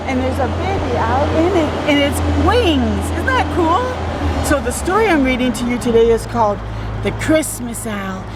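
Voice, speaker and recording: A woman reading a story aloud for children, expressive and speaking directly to her young listeners with asides. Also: high voice, moderate pace, very noisy.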